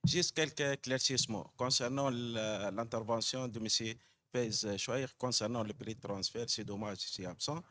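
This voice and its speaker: A man from Tunisia, a tax official, asking a halting technical question from the floor at a conference. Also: mid-range voice, steady tone, a bit bright, slight background noise. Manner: halting, technical, many fillers and restarts.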